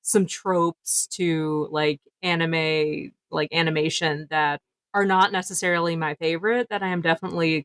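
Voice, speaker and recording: A woman's voice: on the low side, no echo. A woman podcast host chatting casually in an informal, candid, sweary way. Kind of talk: casual chat.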